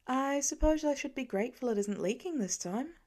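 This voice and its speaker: Playful, affectionate mom as a female narrator reads her, higher voice, animated delivery, audiobook. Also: fairly quick. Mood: wry, grateful. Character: warm, playful mother of young children.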